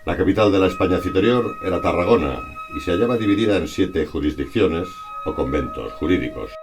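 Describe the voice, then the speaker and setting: An extremely deep male voice from delivers scripted narration. His reading is formal and measured, like a documentary text read aloud, with music playing behind him. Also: expressive.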